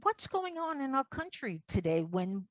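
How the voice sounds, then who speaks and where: A woman's voice: sits high, very animated, normal speed, no room sound. A woman hosting an interview show, asking questions and repeating words for stress. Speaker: talk show host.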